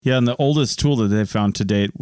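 Quick-talking male podcast co-host. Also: fairly low pitch, a little mellow, smooth, no echo, studio quality. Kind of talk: casual chat and show outro.

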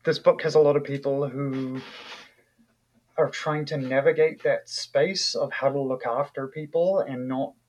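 A man's voice: mid-range voice, fairly even intonation, a little slow, poor audio. A man from New Zealand with a New Zealand accent, an author talking casually in an interview, thoughtful and full of fillers and restarts.

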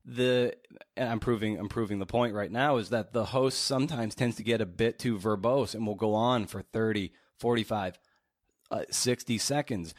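Man, somewhat low pitch, casual interview host with halting, meandering delivery; close, dry sound. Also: no room sound. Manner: halting, circles around his questions. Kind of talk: casual interview, long questions.

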